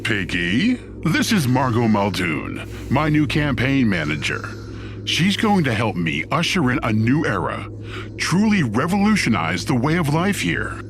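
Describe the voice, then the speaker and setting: Man playing a smug, wildly animated Satan. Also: medium pitch, unhurried, noisy background. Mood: grandiose, playful. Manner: sardonic, theatrical, toying with listeners. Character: Satan, smug theatrical male devil ruler of Hell. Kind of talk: scripted audio drama acting.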